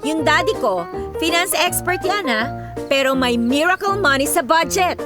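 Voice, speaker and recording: An actress playing an overworked young millennial daughter with a Filipino accent, theatrical and sarcastic in a comedic radio drama. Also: female voice, high voice, expressive, moderate pace. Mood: exasperated ranting. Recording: music behind the voice.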